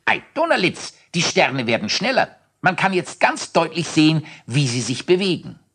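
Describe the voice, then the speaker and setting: A mischievous, excitable companion in a storybook, who exclaims with surprise, as a man puts him on. His voice jumps far higher than an everyday voice, and it sounds eager and full of mischief. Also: normal speed, slightly rough, exaggerated voice. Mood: excited.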